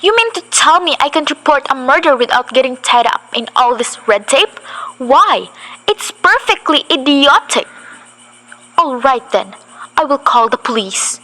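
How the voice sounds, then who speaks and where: A student actress playing an anxious, demanding invalid housewife in a school radio play, fretful and impatient. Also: female voice, high voice, lively intonation, pushed and bright, full character voice, rough recording. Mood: exasperated. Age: young. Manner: fretful, impatient, talks fast when agitated.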